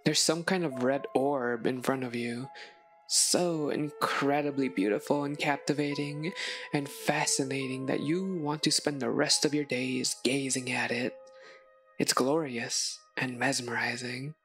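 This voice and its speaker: A woman narrating a scripted second-person story in a measured way, with dramatic pauses. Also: very deep voice, steady tone, faint music behind. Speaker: narrator, audio roleplay voice performer.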